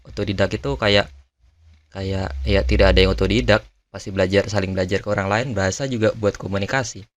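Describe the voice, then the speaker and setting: A man's voice: deep voice, fairly even intonation, fast, no echo. A man in a casual Q&A chat, musing and thinking aloud in an informal way as host. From Indonesia. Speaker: language channel host answering viewer questions.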